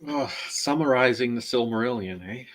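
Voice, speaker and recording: A man on a book club panel, explaining in a halting way with many restarts and checks of "right?". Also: medium pitch, rough recording. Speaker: co-host and panelist. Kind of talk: casual online book discussion.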